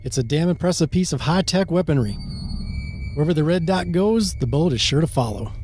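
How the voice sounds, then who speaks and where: Man acting a high-voiced, gruff, sarcastic sheriff. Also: lively intonation, a little fast, a little mellow. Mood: menacing, smug. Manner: sardonic, threatening. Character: gruff, sarcastic, bullying small-town male sheriff. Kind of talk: amateur radio play reading. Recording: faint music behind the voice.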